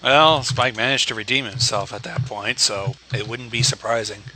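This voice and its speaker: A man's voice: medium pitch, normal speed, sharp tone. A man giving casual commentary on a livestream, heard through a noisy mic with clicks.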